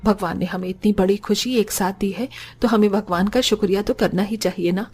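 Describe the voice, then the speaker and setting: A woman's voice: medium pitch, fairly even intonation, fairly quick, a little mellow, breathy edge, lightly acted. A woman playing a devout, protective elderly mother-in-law in a cartoon family story, speaking earnestly and soothingly. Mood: joyful, devout.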